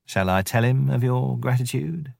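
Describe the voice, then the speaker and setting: A man voicing a mean, mischievous male jackal who wheedles and flatters in a drawn-out, sing-song way. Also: mid-range voice, gravelly, no echo, clean studio sound, voice pushed up. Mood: sly.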